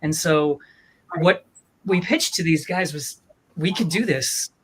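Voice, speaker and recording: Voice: medium pitch, slow pace, husky. A person who produces audiobooks and acts with their voice, informally presenting a project in an enthusiastic, conversational manner.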